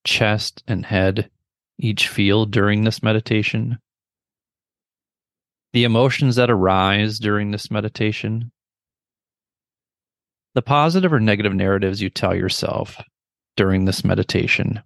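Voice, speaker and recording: A middle-aged man leading a guided meditation with measured, gentle, instructional phrasing and pauses between phrases. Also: fairly low pitch, vocal fry, no room sound, clean studio sound.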